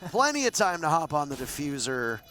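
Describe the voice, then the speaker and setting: A man casting live esports play-by-play, excitable, his voice jumping up at big plays. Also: on the high side, fairly quick, soft background music. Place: broadcast desk at a live event.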